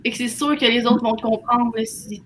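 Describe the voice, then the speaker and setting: A young woman chats in French with a Québécois accent and a fairly high voice. She speaks casually, as among peers on a video call, with some faint room noise behind her. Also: steady tone, brisk. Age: young adult. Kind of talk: casual group discussion. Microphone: computer mic on video call.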